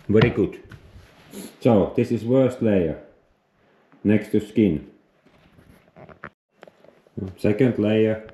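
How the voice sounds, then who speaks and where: Finnish-accented man, halting non-native English, unhurried, roomy recording. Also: fairly low pitch, mellow. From Finland. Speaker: outdoors vlogger. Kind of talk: casual instructional vlog. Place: outdoors on a frozen lake, later indoors.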